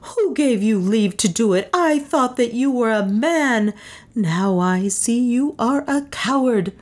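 A woman's voice: sits high, animated, warm tone, pitched above the performer's own voice. A woman reading an audiobook as a distraught, passionate young woman who wails and accuses. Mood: angry, accusing. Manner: wailing and indignant, drawn-out cries. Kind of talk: dramatised audiobook dialogue.

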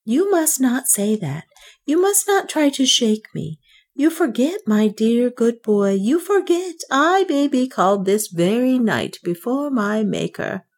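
A sickly old banker in a storybook, as a woman narrator puts him on, speaking in wheedling, unreal tones that jump high and shaky with excitement. His voice climbs well above hers and wavers as he pleads for forgiveness. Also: measured pace, exaggerated voice. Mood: pleading. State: tremulous, excited. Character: feverish old male banker, wheedling and penitent.

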